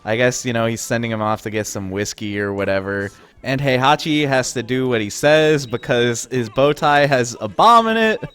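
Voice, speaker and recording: A man's voice: mid-range voice, normal speed, hardly any pauses, fairly bright tone, clean tone. A young man giving casual, wry commentary over game footage, amused and often laughing at the absurd moments. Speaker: gaming video host.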